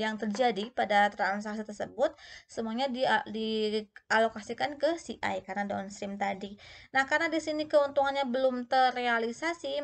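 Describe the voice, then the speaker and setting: A woman giving an explanatory tutorial as an instructor, going step by step and posing questions that she then answers herself. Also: a little high. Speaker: accounting instructor.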